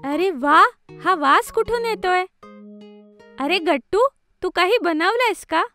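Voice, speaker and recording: Excitable prankster kid sister for a kids' cartoon: tiny, very high, bright, teasing. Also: female voice, takes it slowly, faint music behind, full character voice. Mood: eager, excited. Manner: exclamatory, teasing. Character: excitable, giggly, mischievous little girl, a prankster sister. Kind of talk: children's cartoon voice acting.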